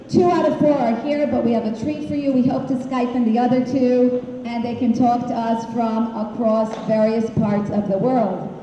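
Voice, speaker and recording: High-voiced woman hosting in a booming hall. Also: warm tone, lo-fi sound, slight background noise. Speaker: school director and ceremony host. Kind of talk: ceremony introductions. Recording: very echoey hall.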